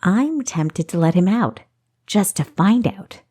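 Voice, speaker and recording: A woman's voice: medium pitch, animated, slow pace, dark tone, husky. Woman acting a sarcastic, swooping mischievous female thief. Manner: scoffing, sarcastic, playful. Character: defiant, witty, mischievous young woman thief, proud and sarcastic. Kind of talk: audiobook character acting.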